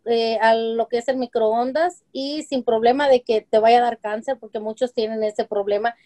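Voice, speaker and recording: A woman's voice: on the high side, steady tone, normal speed, dark tone. A woman in her forties, talking as a guest on a video call from home. She explains with lots of examples and fillers. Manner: chatty, explains with examples, many fillers like "este" and "eh". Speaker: guest, home-business seller. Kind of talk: casual interview over video call. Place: home room with shelves of colorful containers. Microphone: video call webcam.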